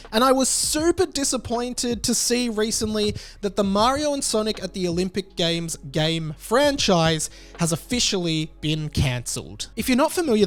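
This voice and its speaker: A man hosting a video, giving informal, opinionated commentary straight to his viewers. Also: high-pitched. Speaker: video host.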